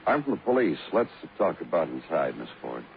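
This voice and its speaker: An actor playing a hardened New York homicide detective with an American accent, terse in questioning and poetic in narration, in 1950s radio crime drama style. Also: male voice, very deep voice, sing-song, a little fast, slight background noise, heavily acted. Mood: terse, pressing. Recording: muffled old radio recording, music behind.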